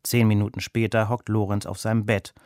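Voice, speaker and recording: A man's voice: fairly low pitch, flat delivery, brisk, smooth, no echo, studio quality. A man narrating a scripted children's story. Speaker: story reader. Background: loud plucked guitar music.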